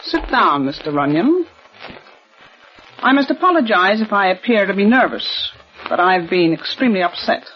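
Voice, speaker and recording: A woman's voice: mid-range voice, big pitch swings, moderate pace, lightly acted. An actress in 1940s radio style playing a formal, insistent wealthy woman of forty. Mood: tense. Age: 40s. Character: tense, proper wealthy eldest daughter. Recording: old lo-fi radio recording.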